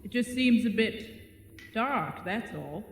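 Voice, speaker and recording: An actor in an audio drama voicing a bored, lonely male spider monster with polite, wry, measured phrasing. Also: mid-range voice, lively intonation. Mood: dryly questioning. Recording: echoey cavern-like reverb, faint noise.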